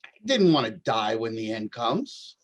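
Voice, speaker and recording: A man playing a troubled homeless young doomsday poet in a play reading, speaking in a halting, dramatic way. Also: fairly low pitch, big pitch swings, a little hiss or hum. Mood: fearful, urgent. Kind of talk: staged play reading, reciting verse.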